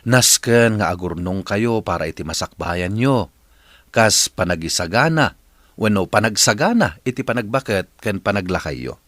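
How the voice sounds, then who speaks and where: A man's voice: lowish voice, animated, normal speed, fairly bright tone, no room sound. A man with a Filipino accent hosting a radio drama, giving scripted narration and advice in an earnest manner.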